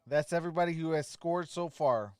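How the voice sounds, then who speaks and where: A man's voice: fairly high pitch, a little fast, smooth, dry recording, studio quality. A man doing amateur play-by-play of a basketball game as a stream host, in terse, clipped phrases. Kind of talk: amateur play-by-play commentary and score updates.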